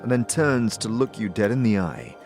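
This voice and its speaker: A man narrating an audio drama and voicing the lead, with a casual, chuckling, halting manner. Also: fairly low pitch, sing-song, over music.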